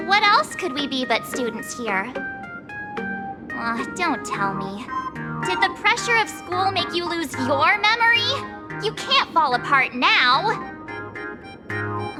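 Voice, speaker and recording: A young woman voicing a chatty, friendly schoolgirl classmate in an anime-style game, expressive and a little hesitant. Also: very high voice, full character voice. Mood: concerned, puzzled. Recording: music behind the voice. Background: game music.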